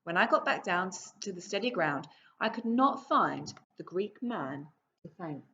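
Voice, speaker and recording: A woman teacher reading a book aloud to her pupils in a video lesson. She reads slowly and clearly and stops now and then to ask the class questions. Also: on the low side, expressive, pushed and bright, lo-fi sound, slight background noise.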